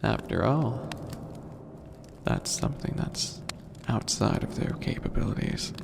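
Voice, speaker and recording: A performer voicing an imperious, scheming skeleton demon lord in a breathy, creaky whisper, speaking slowly and theatrically in an ASMR roleplay. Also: mid-range voice, animated. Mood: commanding, judging. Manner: slow, theatrical, formal, menacing. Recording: noisy, long cavernous reverb.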